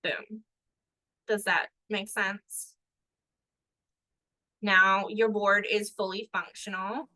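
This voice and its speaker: A woman's voice: medium pitch, level tone, extremely slow, long pauses, bright, forceful tone, no echo, poor audio. A woman teaching a live online workshop on a video call, upbeat and encouraging and often checking that her students follow. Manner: upbeat, encouraging, asks for understanding. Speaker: online trainer.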